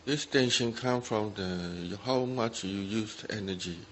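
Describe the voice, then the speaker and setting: A man's voice: lowish voice, a little slow, fairly bright tone, a little hiss or hum. A man who speaks English as a second language, giving guided meditation instruction as a Buddhist teacher in short simple phrases with gaps between them. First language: not English. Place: meditation retreat talk.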